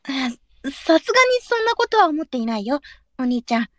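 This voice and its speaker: A woman playing a hesitant, soft-spoken nineteen-year-old courtesan in a Japanese period audio drama. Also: high voice, very animated, brisk, dry recording, clean studio sound. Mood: uneasy.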